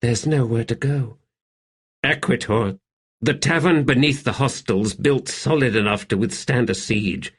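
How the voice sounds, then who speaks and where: A man's voice: medium pitch, a bit bright, breathy edge, voice pushed up. Man doing a weary, wry soldier. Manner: dry, sardonic, urgent when gasping. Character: weary, wry male soldier, rough and dry-humored. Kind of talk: audiobook character voice.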